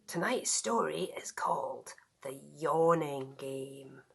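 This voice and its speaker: A woman reading a children's bedtime story aloud in a theatrical, drawn-out way, dropping into hushed tones. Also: sits low, vocal fry, slightly rough. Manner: theatrical, drawn-out, with hushed whispers and acted yawns. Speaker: storybook reader, school staff member.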